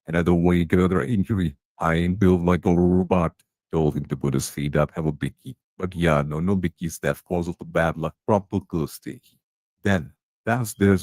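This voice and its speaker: A man's voice: low-pitched, steady tone, moderate pace, a little mellow, no echo. A man playing a gossiping canteen tea lady, chatty and blunt with plenty of slang.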